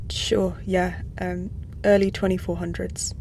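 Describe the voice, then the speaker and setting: A woman's voice: on the low side, flat delivery, slow, creaky, darkish tone, a little hiss or hum. A woman playing a withdrawn, weary human spacefarer in an audio drama, subdued and terse.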